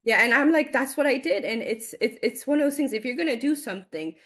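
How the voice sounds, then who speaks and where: A woman in her forties, a guest in a casual interview, chatting with animated energy, often laughing and repeating words as she gets excited. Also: a little high, fast. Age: 40s.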